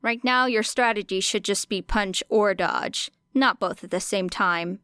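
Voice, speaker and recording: A woman's voice: medium pitch, a little slow, pushed and bright, clear, resonant tone, no echo, clean studio sound. A woman voicing a brash, teasing young female street fighter who coaches bluntly and casually in an audiobook. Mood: brisk, instructive. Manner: blunt, cocky, casual, sweary. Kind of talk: audiobook character dialogue.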